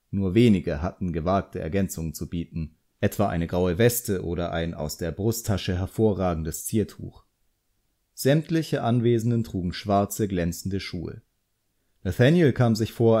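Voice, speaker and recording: Male narrator for a fantasy audiobook, lowish register, soft dark tone. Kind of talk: scripted narration of a fantasy novel.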